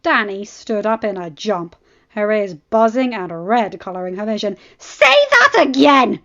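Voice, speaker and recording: A woman's voice: mid-range voice, normal speed. Woman narrating, slightly muffled sound. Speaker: audiobook reader. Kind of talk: scripted fan fiction narration.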